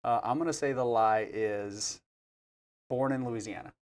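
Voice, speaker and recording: A man hosts a casual podcast conversation in a playful, teasing way, often thinking out loud. His voice sits at an everyday middle pitch. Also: measured pace. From New Mexico. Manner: playful, joking, thinks aloud. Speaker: podcast host. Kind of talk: casual interview chat.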